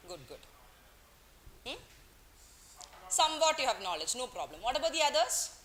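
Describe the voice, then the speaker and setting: Lively bright-voiced woman teacher. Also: high voice, normal speed. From Kerala, India. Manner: playful, teasing, coaxing students, mixes in Hindi words. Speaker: economics teacher. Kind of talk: classroom lecture. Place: classroom.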